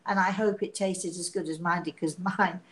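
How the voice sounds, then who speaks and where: Host, sixties woman, raspy sick voice, warm rambling chatter, home tablet mic. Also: fairly low pitch, fairly even intonation, moderate pace, slight background noise. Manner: chatty, laughing, self-mocking. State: hoarse from illness, coughing. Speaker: psychic medium hosting a live show. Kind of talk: casual live-stream chat. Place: living room at home. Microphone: device camera at arm's length.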